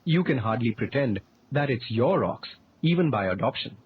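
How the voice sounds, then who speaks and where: A man voicing a dry, unflappable male artist who answers calmly and wryly. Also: medium pitch, moderate pace, husky. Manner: calm, wry and deadpan. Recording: tinny phone-like recording.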